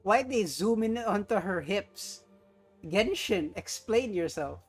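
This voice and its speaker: A man doing casual, joking commentary as a gaming streamer, with deadpan drawn-out asides. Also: high voice, faint music behind.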